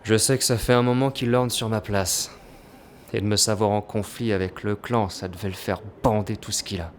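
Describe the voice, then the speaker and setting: A man's voice: on the low side, fairly even intonation, normal speed, a little hiss or hum, natural voice. A man playing a jaded, violent crime boss in an audio drama, crude and contemptuous in manner. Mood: bitter contempt.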